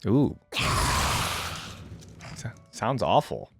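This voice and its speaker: A man's voice: on the low side, wildly swooping pitch, drawn-out words, creaky, darkish tone, breathy edge, faint music behind. A man giving casual reaction commentary as a video host, chatting directly to his viewers in a conversational way. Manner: conversational, addresses viewers directly as guys and gang.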